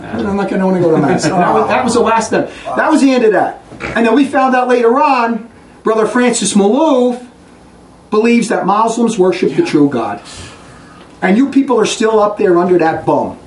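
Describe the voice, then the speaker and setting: A man giving an impassioned religious talk to a group in a fast, blunt, combative way. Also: sits high, lively intonation. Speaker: religious preacher. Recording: echoey room, distant mic, faint noise.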